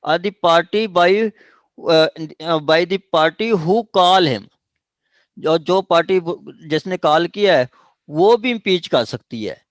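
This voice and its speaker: A man with a Pakistani accent giving an online law lecture, explaining haltingly with repetition and switching into English legal terms. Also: high-pitched, lively intonation, no room sound. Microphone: online class call.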